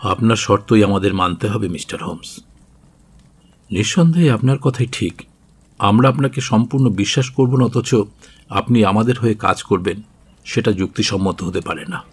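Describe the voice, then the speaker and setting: An actor playing an imperious elderly prime minister in a radio drama, speaking gravely and with authority in a husky voice. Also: male voice, fairly low pitch, moderate pace, pauses often. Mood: grudging, grave. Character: imperious elderly British prime minister, fierce, self-restrained. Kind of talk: Bengali radio drama acting.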